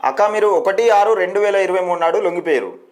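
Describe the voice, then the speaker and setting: Rapid-fire Telangana Telugu male interviewer. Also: sits high. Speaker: news reporter, interviewer. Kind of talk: phone interview, conversational questioning.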